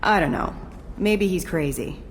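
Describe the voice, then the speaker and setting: An actress playing a jaded, tough ex-security chief in a video game, blunt and cynical. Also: female voice, on the low side, lively intonation, a little slow, a little hiss or hum. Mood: blunt, hard. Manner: blunt, cynical, matter-of-fact. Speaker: game character. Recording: game soundtrack music behind.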